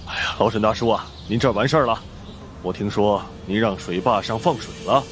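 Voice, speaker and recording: Man playing low-voiced, folksy village chief. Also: brisk, close to an everyday voice. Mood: inquiring. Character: practical male village chief, concerned and folksy.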